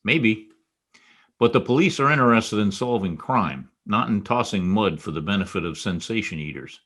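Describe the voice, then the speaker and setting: Man acting as private detective, lowish pitch, wry, hard-boiled, dogged, old-time radio drama. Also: animated, moderate pace, a bit bright. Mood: dry, wry.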